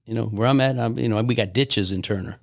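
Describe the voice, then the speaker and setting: A man's voice: medium pitch, fast talker, vocal fry, dry recording. An older man chatting as a podcast guest, telling rambling stories about old times into a close mic. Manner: rambling storyteller, reminiscing. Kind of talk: casual reminiscing chat.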